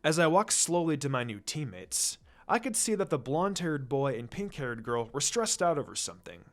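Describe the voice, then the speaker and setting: A man with a fairly high voice narrates a story aloud. His reading is expressive, with pitch that moves widely and animatedly from phrase to phrase. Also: normal speed. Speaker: fan fiction reader.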